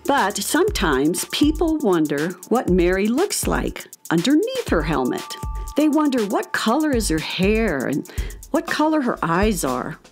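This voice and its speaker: A woman narrating a scripted children's radio story in an animated storytelling manner. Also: medium pitch, unhurried, faint music behind.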